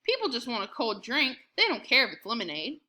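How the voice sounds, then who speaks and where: Woman reading as an eager, bright-voiced young boy. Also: a little high, huge pitch swings, brisk, exaggerated voice. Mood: surprised, reasoning. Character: enterprising young boy, eager and a bit sarcastic.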